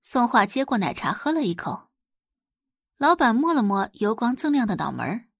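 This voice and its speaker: Animated woman narrating, slightly husky. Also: on the high side, moderate pace, dry recording, clean studio sound. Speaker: audio drama narrator. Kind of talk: scripted, dramatic story narration.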